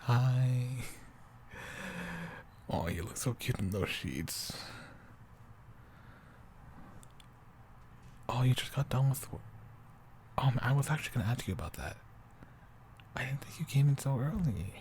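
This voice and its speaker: A man playing an affectionate young boyfriend in an improvised bedtime roleplay, talking softly and haltingly, often sinking toward a whisper. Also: medium pitch, animated, a little hiss or hum, lightly acted. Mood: affectionate, surprised. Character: affectionate, doting young boyfriend, soft and rambling. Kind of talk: improvised intimate roleplay audio, sleep aid.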